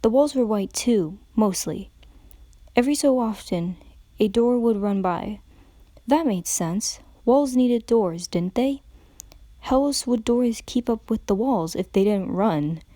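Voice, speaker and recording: Woman narrator, dramatic story reading, very slow and mellow, dry close sound. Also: mid-range voice, no room sound. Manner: slow, brooding, dreamlike.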